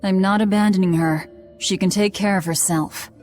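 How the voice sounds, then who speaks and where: A woman voicing a grim, hard-bitten young outlaw in a video game, curt and deadpan. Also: mid-range voice, moderate pace, faint music behind, close to an everyday voice. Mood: cold.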